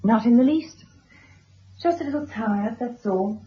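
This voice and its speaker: Casting: restrained middle-aged mother, weary and dutiful, breathy higher voice, vintage radio drama with muffled sound. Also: a little slow. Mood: weary, polite. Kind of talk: 1970s radio drama acting. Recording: dull old radio broadcast recording.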